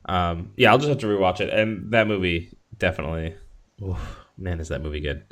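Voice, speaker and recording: Guy with a lowish voice, podcast cohost just chatting, easygoing and agreeable. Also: fairly quick, fairly bright tone. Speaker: podcast co-host. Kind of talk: casual chat.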